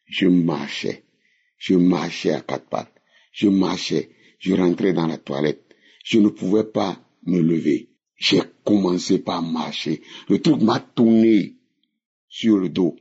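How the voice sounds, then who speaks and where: A man in his sixties from Benin, giving a spoken testimony in a slow, emphatic way that repeats phrases. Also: sits low, creaky, a little mellow, husky, muffled recording. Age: 60s. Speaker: testimony caller.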